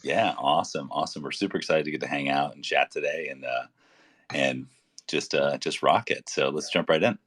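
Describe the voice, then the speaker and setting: A man's voice: extremely low pitch, brisk, fairly bright tone, breathy edge. A man co-hosting a casual interview over a remote call, upbeat and chatty with some fillers. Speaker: co-host.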